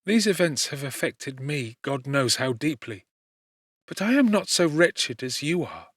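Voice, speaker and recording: A male narrator voicing a gentle, grieving young woman in an audiobook, pleading and earnest. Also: a little high, big pitch swings, measured pace, no echo, full character voice. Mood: consoling. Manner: pleading, earnest, softly lifted voice. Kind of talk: scripted audiobook reading. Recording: dry close studio.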